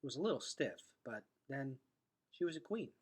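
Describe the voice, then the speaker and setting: A man reading a storybook aloud as host. His voice sits at a medium pitch with very animated intonation and a husky texture. The narration is expressive. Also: normal speed.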